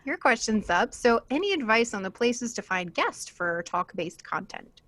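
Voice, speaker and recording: A woman's voice: fairly high pitch, very animated, moderate pace, fairly bright tone. A young woman chatting casually and informally on a panel, giving advice as a podcaster, often giggly.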